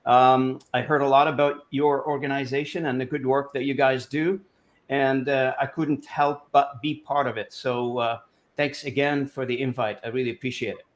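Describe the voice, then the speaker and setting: A man from Lebanon in his fifties, a guest telling his life story in a relaxed interview over a video call, rambling with lots of fillers and restarts. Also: mid-range voice, moderate pace.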